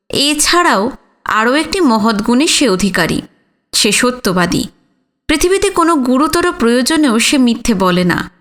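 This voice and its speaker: A woman narrating a literary audio story in a measured, solemn manner with deliberate phrasing. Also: a little high, a bit bright.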